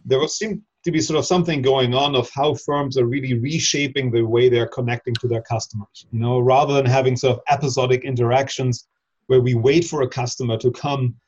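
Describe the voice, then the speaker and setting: Husky-voiced male professor on a dull line. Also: mid-range voice, steady tone, a little mellow. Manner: thoughtful, measured, many fillers. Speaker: guest, business professor. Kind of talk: explaining in an interview.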